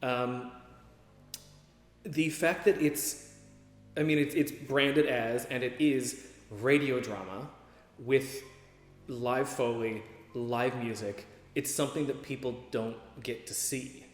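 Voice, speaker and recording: A man's voice: medium pitch, slow pace, long pauses, soft background music. A man who performs on stage, talking casually in an interview. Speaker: theatre performer. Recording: echoey hall.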